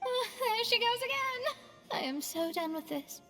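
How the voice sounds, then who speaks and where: A brainy young woman from a fantasy game. Her voice is very high, yet her manner is dry, weary and detached. Faint music plays behind her. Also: animated, measured pace, fairly bright tone, full character voice. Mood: fed up. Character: wry, weary young woman, intellectual and unimpressed. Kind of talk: video game voice acting.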